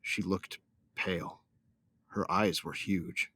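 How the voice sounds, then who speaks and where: A man's voice: low voice, little pitch movement, slow pace, sharp tone, no room sound, clean studio sound, full character voice. A man playing a devoted young starship captain, narrating his own story in a science fiction audio drama. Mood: earnest, tender. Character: young newlywed starship captain, loyal son of smugglers, devoted husband. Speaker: first-person narrator and character.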